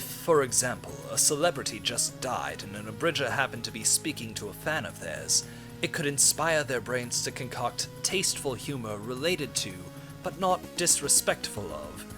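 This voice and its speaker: A man's voice: mid-range voice, close to an everyday voice. A man voicing a cold, haughty female scientist in a comedic game parody, speaking in an articulate, condescending, clinical way.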